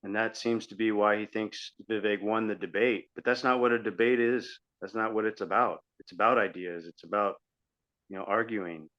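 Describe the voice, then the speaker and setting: A man's voice: fairly low pitch, steady tone, moderate pace, fairly bright tone. A man co-hosting a podcast, giving argumentative, critical political commentary in a conversational style. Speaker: podcast co-host. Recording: dull lo-fi audio.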